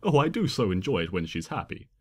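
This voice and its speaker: A man reading fan fiction as an expressive, theatrical first-person narration. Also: on the low side, quick pace, breathy edge. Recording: long hall reverb.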